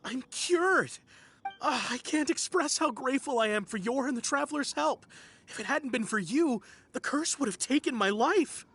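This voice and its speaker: A woman's voice: sits high, animated, moderate pace, a bit bright, husky, full character voice. A woman playing an anxious, polite, superstitious client in a video game, earnest and easily flustered. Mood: relieved, grateful. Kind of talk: video game character acting. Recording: music behind the voice.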